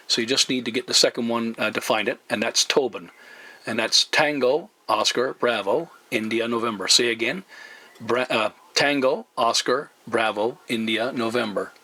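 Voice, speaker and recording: A man's voice: mid-range voice, bright, forceful tone, husky. A man having a casual radio chat as a CB operator, friendly and courteous, often repeating himself. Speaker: CB radio operator, YouTube channel host.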